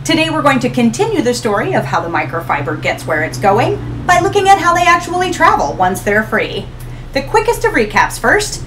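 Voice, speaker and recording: A middle-aged woman hosting an ocean education channel, talking to camera in a small room with an upbeat, expressive manner. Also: on the high side, poor audio. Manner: upbeat, expressive, gestures while explaining. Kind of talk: scripted educational talk to camera. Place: small room in front of a hanging tapestry.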